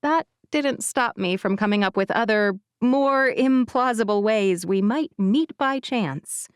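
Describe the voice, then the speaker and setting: A woman's voice: fairly high pitch, a little slow, smooth, no room sound. A woman reading a romance audiobook in the first person, wry and confiding, in a conversational tone, close to a studio mic. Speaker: audiobook narrator.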